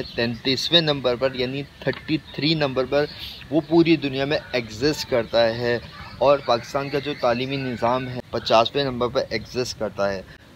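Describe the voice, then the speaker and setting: A man with a Pakistani accent gives an informal explainer to viewers, speaking haltingly and often pausing mid-sentence. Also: muffled recording. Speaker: video host. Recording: clearly noisy, vehicle-like background rumble.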